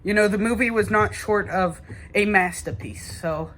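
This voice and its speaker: A man's voice: high voice, moderate pace, background noise. A young man giving his views as a guest in a casual chat, tentative and often trailing off. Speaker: guest gamer.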